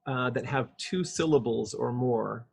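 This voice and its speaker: A man's voice: medium pitch, measured pace, creaky, darkish tone, husky. A man coaching on English pronunciation over a video call, explaining patiently and demonstrating sounds. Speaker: English speech coach being interviewed. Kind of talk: casual video call interview.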